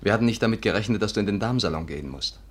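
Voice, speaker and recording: An actor in a 1950s German radio play playing a glib, affectionate private detective with a breezy, flippant manner. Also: male voice, lowish voice, lively intonation, rapid-fire. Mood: coaxing.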